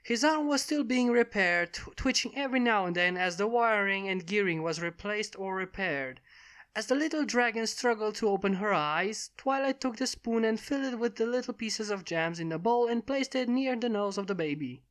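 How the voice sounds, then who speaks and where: A woman reading a fantasy story aloud as scripted, expressive narration. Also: medium pitch, normal speed. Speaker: fan fiction reader.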